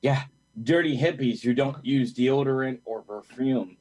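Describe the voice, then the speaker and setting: A man's voice: medium pitch, measured pace, a little mellow, lightly acted, poor audio. A man playing a sarcastic, teasing father in an amateur audio play, with dry mockery. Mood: dry, mocking. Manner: dry, mocking. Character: sarcastic, teasing father who mocks hippies.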